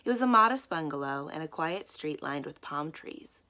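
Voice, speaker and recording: A woman's voice: sits low, lively intonation, moderate pace, bright, forceful tone. A woman reading a children's book aloud as a storyteller, in scripted narration.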